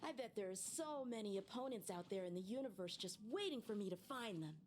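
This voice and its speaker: A woman's voice: mid-range voice, animated, fairly quick, slight background noise, close to an everyday voice. A woman playing an eager, earnest young swordswoman in a video game, full of wonder. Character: bright, eager young female swordswoman seeking opponents.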